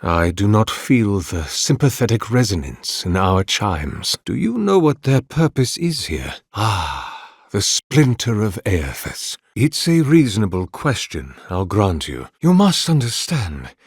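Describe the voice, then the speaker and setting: An ancient, devout priest of a fantasy game, solemn and courteous. His low voice moves slowly and swings expressively through formal, archaic phrases, with a melancholy temper. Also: very animated, slightly rough, no echo, studio quality, heavily acted. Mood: curious, courteous. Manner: formal, archaic, measured, reverent. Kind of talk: solemn video game character dialogue.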